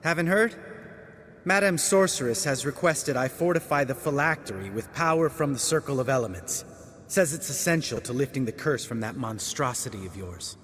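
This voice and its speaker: An actor playing a cynical, needling monster hunter in a video game, blunt and sardonic. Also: male voice, mid-range voice, animated, normal speed, a bit bright, long reverb tail, slight background noise, close to an everyday voice. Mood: sardonic, bitter.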